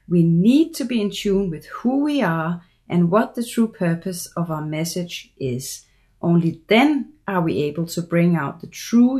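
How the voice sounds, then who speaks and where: A middle-aged woman from Denmark with a Danish accent, a voice coach giving an earnest, measured promotional pitch for her seminar. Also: warm tone. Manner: measured, earnest, persuasive delivery.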